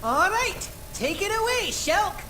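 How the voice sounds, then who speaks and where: A woman's voice: very high voice, very animated, slow pace, full character voice. An actress playing a confident, directive woman leading a squad in a game cutscene. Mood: confident, brisk. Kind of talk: scripted game cutscene acting. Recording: clearly noisy, hissy background.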